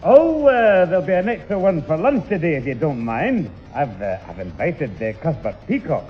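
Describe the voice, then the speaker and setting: A man's voice: on the high side, sing-song, normal speed, slightly rough. A man playing a scheming older grandfather with a Scottish accent, flustered and stammering, in a 1960s radio sitcom. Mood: flustered bluster. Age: 60s or older. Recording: echoey dull old radio recording, faint music.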